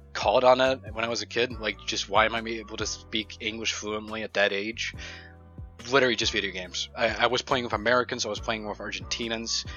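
A man's voice: fairly low pitch, sharp tone, highs cut off. A young man from Ukraine co-hosting a casual podcast, talking bluntly and swearing freely. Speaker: podcast co-host. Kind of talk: casual chat.

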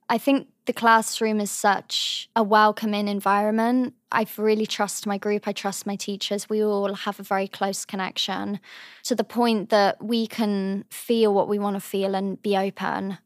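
A young woman from England with a high voice, giving thoughtful, earnest interview answers as a drama student. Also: flat delivery, a little slow, dry recording, studio quality. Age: young adult. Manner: thoughtful, earnest, softly trailing phrases.

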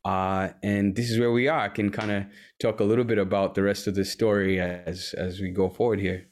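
A young man from Uganda, a musician guest, talking casually in an interview with many pauses and fillers. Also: on the low side, little pitch movement. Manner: easygoing, rambling, frequent um and uh.

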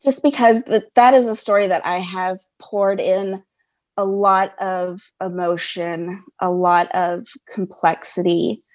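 A woman's voice: on the low side, fairly even intonation, slow pace, darkish tone. A woman answering interview questions as a guest, earnest and emphatic, repeating phrases for weight. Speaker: podcast guest, fan fiction writer.

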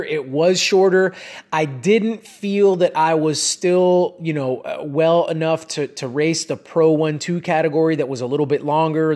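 A man's voice: fairly high pitch, darkish tone. A man in his late thirties hosting a solo podcast, talking casually and rambling with many fillers and restarts. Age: 30s. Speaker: podcast host and cycling coach.

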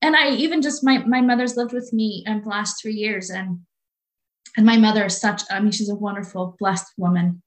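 A woman's voice: mid-range voice, fairly even intonation, normal speed. A woman in her late forties talking earnestly and from the heart as a guest in a faith-based conversation, often repeating her phrases. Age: 40s.

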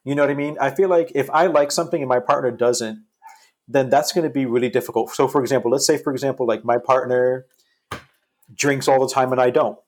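A man's voice: medium pitch, fairly even intonation, fast. A man in his early forties talking casually as a podcast guest, explaining his thinking and checking that listeners follow. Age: early 40s. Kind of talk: casual group chat.

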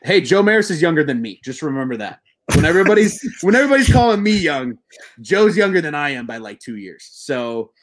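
A man in his twenties hosting a casual sports podcast, excitable and swearing, slightly buzzed. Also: medium pitch. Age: 20s. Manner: excitable, swearing, laughing, bro-ish banter.

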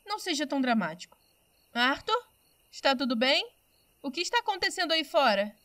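A woman's voice: sits high, sing-song, brisk, bright, forceful tone, no room sound, exaggerated voice. A woman with a Brazilian accent playing a restless, headstrong duchess in a period audio drama. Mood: concerned, teasing.

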